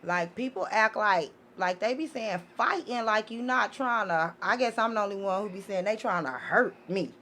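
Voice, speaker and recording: A woman's voice: medium pitch, moderate pace, few pauses, bright, forceful tone, slight background noise. A middle-aged American woman chatting casually on a podcast, giving opinions in slangy, profane, outspoken language.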